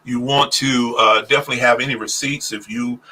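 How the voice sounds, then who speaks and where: Man, tax preparer on a livestream, bright tone, deliberate pace, frequent fillers. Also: mid-range voice, steady tone. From Oklahoma, US. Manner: speaks deliberately slowly to avoid stuttering, many "uh" fillers. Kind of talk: casual livestream talk on taxes. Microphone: phone.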